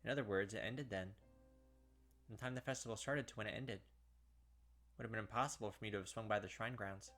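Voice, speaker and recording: A man's voice: on the low side, quick pace, pauses often, a bit bright, soft background music. A man reading visual novel text aloud as a let's-play host, dropping casual, slangy asides along the way.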